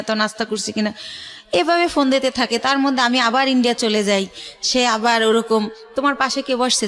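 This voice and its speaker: A woman's voice: on the high side, a bit bright. A middle-aged woman with a colloquial Bangladeshi accent tells a personal story in a radio interview, conversationally, voicing reported dialogue as she goes. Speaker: guest telling her life story.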